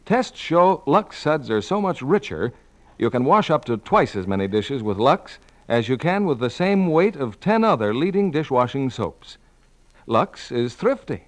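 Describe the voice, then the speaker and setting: A man's voice: mid-range voice, sing-song, a little slow. A man working as a 1940s radio announcer, reading scripted announcements and advertising. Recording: vintage 1940s radio broadcast.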